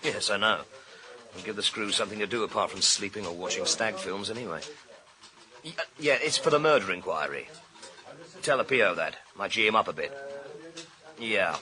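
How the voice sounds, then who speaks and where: An actor playing a driven, blunt British police inspector in a radio thriller, with a brisk, commanding, slangy manner. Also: male voice, lowish voice, crowd noise, close to an everyday voice. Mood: impatient, commanding. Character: driven, blunt male police inspector leading a murder hunt.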